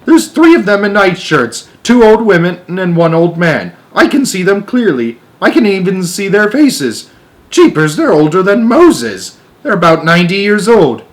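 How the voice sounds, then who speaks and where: An alarmed astronaut from a storybook, acted out by a man reading aloud. He is excitable and bewildered, with a raised, urgent voice that trips over itself in disbelief. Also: high-pitched, normal speed, pitched above the performer's own voice. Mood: bewildered, insistent. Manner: exclamatory, urgent, stammering in disbelief.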